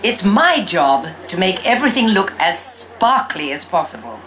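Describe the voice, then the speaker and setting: Woman voicing an animated little fairy princess girl. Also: mid-range voice, slight background noise. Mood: bright, self-assured. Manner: expressive, theatrical, drawn-out emphasis. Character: exuberant young girl who fancies herself a fairy princess. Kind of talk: first-person storybook read-aloud.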